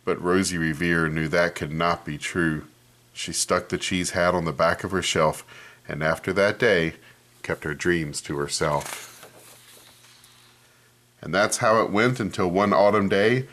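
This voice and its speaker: A man reading a children's picture book aloud in an expressive storytelling manner, commenting on the pages as he goes. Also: low-pitched, measured pace.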